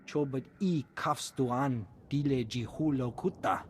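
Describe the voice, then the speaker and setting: A man playing an alien officer in a video game, speaking an invented language in a steady, formal way. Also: mid-range voice, a little slow, a little hiss or hum, close to an everyday voice. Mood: serious.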